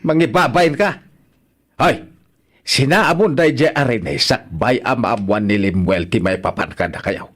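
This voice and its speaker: A man's voice: mid-range voice, a little slow, fairly bright tone. A man with an Ilocano accent playing a stern, indignant elderly father who scolds and repeats himself in a radio drama. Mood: angry scolding. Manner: scolding, emphatic, repeats words.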